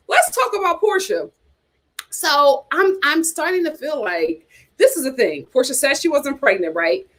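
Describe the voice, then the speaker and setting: A woman hosting a casual live chat show, reacting to comments in an animated, gossipy, blunt way and repeating phrases for emphasis. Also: sits high. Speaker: host of a reality TV chat show.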